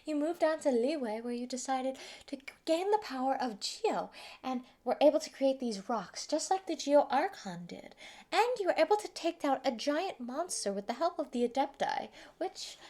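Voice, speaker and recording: A woman acts out a sadistic female mad scientist in an audio roleplay. Her voice sits fairly high, and she taunts her captive with giddy, gloating relish. The delivery is theatrical and menacing, able to slip between giggly delight and hushed threat. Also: animated, moderate pace. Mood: taunting, gloating. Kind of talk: theatrical villain monologue, fan roleplay audio.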